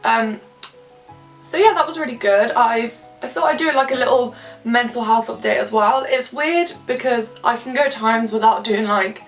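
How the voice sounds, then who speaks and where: Twenty-ish girl, bit of a cold, chatty and full of likes, sounds a little far off with quiet music behind. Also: fairly high pitch, moderate pace. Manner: candid, chatty, heavy use of filler words. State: slightly stuffy from a cold. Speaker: vlogger. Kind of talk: casual chat to camera. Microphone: camera some distance away.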